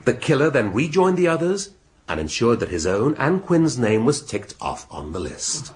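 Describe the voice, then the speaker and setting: An actor playing a shrewd middle-aged English police inspector in a radio drama, speaking deliberately and rhetorically with dramatic pauses. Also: male voice, medium pitch, sing-song, lightly acted. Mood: accusing. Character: shrewd, theatrical male police inspector laying out a murder case.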